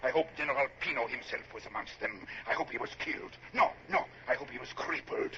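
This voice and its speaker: A formal foreign army colonel , played by a man with a vengeful streak. He speaks precisely and theatrically in a lively voice. The old radio recording is dull and noisy. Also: mid-range voice, a little fast, close to an everyday voice. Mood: gleeful, vengeful. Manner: precise, uncontracted speech, theatrical. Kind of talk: radio drama acting.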